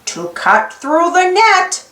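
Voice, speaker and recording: A woman's voice: very high-pitched, sing-song, slow, a bit bright, roomy sound, exaggerated voice. A woman voicing a grumpy old male troll from a children's storybook, drawing out riddles in a teasing way. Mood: grumpy, challenging.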